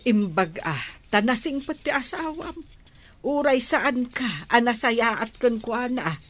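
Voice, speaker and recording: Concerned middle-aged Filipino mother, unhurried pace, gentle, expressive, radio drama, faint background noise. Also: on the high side. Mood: caring.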